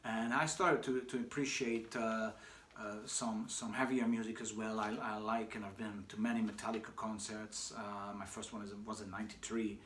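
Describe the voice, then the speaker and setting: A man who grew up speaking Hungarian and German, talking casually about himself, with many pauses, fillers and restarts. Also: on the low side, little pitch movement, a bit bright. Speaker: shop owner answering questions. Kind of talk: casual personal monologue. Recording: echoey room with faint background noise.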